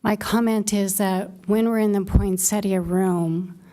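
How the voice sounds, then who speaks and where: A woman with a very soft voice, a committee member speaking earnestly and carefully at a table microphone. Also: mid-range voice, steady tone, unhurried. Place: city meeting room.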